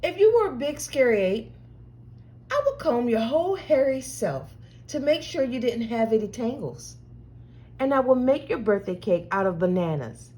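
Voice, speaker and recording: A woman reading the part of a loving, patient mother in a picture book, tender, soothing and expressive. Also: a little high, moderate pace. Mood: loving reassurance.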